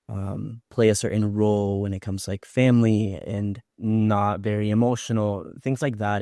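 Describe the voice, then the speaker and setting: A man from Puerto Rico speaks in a slightly low voice with little rise and fall. He tells personal stories conversationally as a podcast guest, reflecting and rewording as he goes. The recording is dry. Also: darkish tone, no room sound.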